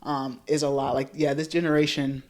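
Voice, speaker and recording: Casting: American woman with a deep voice, easygoing informal conversationalist on a podcast. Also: level tone, normal speed, a little mellow. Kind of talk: casual reflective chat.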